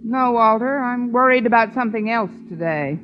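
An actress playing a sensible, wry schoolteacher with dry, measured comic timing in a radio comedy. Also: female voice, a little high, animated, lightly acted. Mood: concerned. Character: wry, sensible woman high school teacher. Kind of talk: 1950s radio comedy acting. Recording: muffled, noisy old radio recording, loud studio audience.

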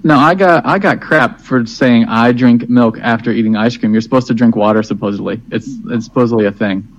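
A man's voice: mid-range voice, brisk, lo-fi sound. Easygoing man chatting on a podcast. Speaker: podcast co-host. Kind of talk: casual chat. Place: home, by an open door.

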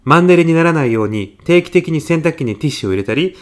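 A man playing a polite, glib, persuasive salesman pitching to housewives in a comedic radio sketch. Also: lively intonation, quick pace, close to an everyday voice. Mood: reassuring, persuasive.

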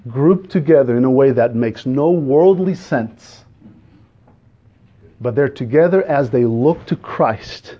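Guest preacher, man with a Portuguese accent, slightly raised pitch, dull lo-fi sound, stumbling earnestness. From Azores, Portugal. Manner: earnest, halting delivery with frequent restarts, asks the congregation questions. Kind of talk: sermon.

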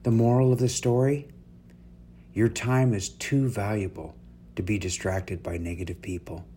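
Low-voiced man reading an inspirational story. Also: steady tone, normal speed, warm tone. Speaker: story reader, host. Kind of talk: scripted inspirational story narration. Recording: noticeable background noise and hum.

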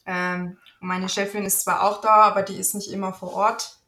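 A woman's voice: mid-range voice, level tone, normal speed, bright, forceful tone, roomy sound. A young woman whose first language is Italian, chatting casually with many fillers as an interview guest. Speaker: interview guest, office assistant and freelance translator.

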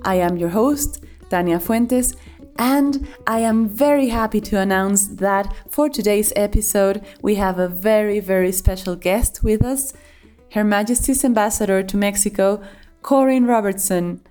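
A woman's voice: medium pitch, measured pace, a little mellow. A woman host opening a podcast with an upbeat scripted introduction. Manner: upbeat, announcing. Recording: intro with faint guitar music.

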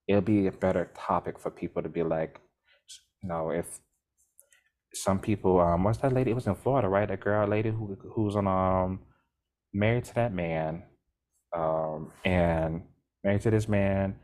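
A man co-hosting a podcast, talking casually in a rambling way with bursts of energy. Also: low voice. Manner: rambling, restarts often, animated bursts. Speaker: podcast co-host.

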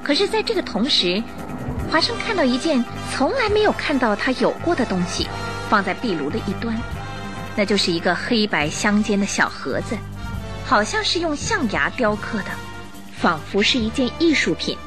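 A woman narrating a radio drama in an expressive, measured, scripted storytelling style. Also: a little high. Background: music under the voice.

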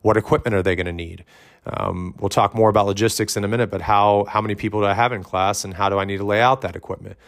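A man hosting a podcast, giving loud, direct coaching advice in casual talk, with many ums and restarts. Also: fairly low pitch, fairly even intonation, quick pace, creaky. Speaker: fitness coach and podcast host.

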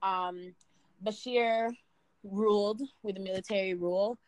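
A woman's voice: mid-range voice, fairly even intonation, a little slow. A young woman from Sudan, a university student, speaking as a podcast guest in a chatty way, with many fillers and restarts. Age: young adult. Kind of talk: casual interview talk.

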